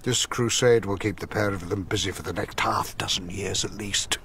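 An actor playing an ageing, sardonic, commanding king with a gravelly voice in a radio drama. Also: low voice, fairly even intonation, moderate pace, a bit bright, a little hiss or hum. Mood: scheming. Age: older.

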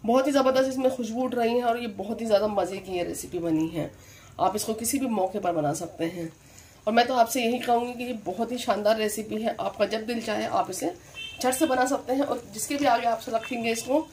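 A woman with a Delhi-area Hindustani accent explains a home recipe step by step in a calm, instructive way. Her voice sits a little high. Faint kitchen sounds run underneath. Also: slight background noise, rough recording. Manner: calm instructive, repeats "here" and "this way". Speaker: cooking channel host.

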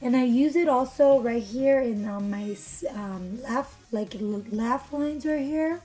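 A young woman, a little hoarse from being under the weather, chatting bubbly through a makeup tutorial in her bedroom, filmed close by her camera. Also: fairly high pitch, a little slow, warm tone, soft background music. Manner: chatty, bubbly, lots of fillers. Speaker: beauty vlogger. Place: bedroom with couch, indoors. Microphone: camera in front at arm's length.

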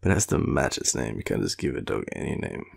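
A tired young Australian man murmuring an affectionate, rambling boyfriend roleplay in a deep, gravelly, breathy voice. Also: big pitch swings, quick pace. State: tired, sleepy. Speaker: boyfriend roleplay audio performer. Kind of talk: intimate sleepy roleplay, ASMR-like rambling.

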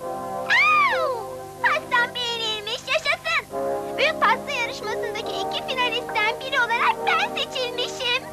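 Little cartoon girl: sweet, excitable, extremely high voice, loud music behind. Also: measured pace, heavily acted. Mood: delighted. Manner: exclamatory, wide-eyed. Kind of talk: dubbed cartoon acting.

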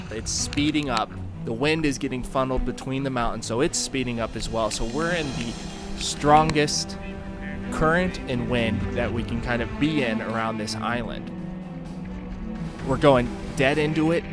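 A young man, a sailing vlogger and skipper, narrating his voyage in a thoughtful, musing, matter-of-fact way. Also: medium pitch. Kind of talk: reflective vlog narration. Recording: voice-over with loud music behind.

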